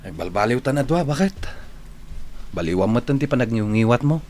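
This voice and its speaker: An actor playing an earnest, reformed working husband in a radio drama. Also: male voice, mid-range voice, animated, moderate pace, a little mellow, slight background noise, lightly acted. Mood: insistent.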